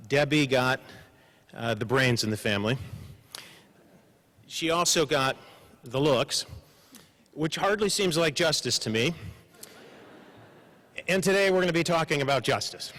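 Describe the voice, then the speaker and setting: A man moderating a panel, giving formal introductions with dry humor, measured and pausing for emphasis. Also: medium pitch, fairly bright tone. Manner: measured, slows for emphasis, frequent uh fillers. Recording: long hall reverb.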